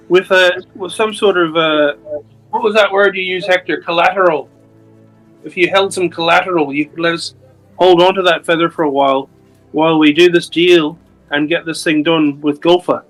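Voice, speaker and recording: A player in a tabletop game who talks his way through a deal, patient and persuasive. His voice sits slightly high for a man. Soft music plays behind the table. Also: fairly even intonation, moderate pace, pauses often, low-quality recording. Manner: persuasive, smooth-talking. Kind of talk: in-character roleplay talk.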